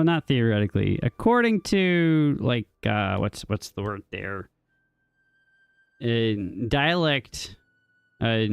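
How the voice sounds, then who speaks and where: A man's voice: mid-range voice, clean tone, dry recording. A man chatting casually with his viewers during a live game stream, rambling with fillers and restarts. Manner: rambling, answers chat with many ums and restarts. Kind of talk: casual chat with viewers while playing.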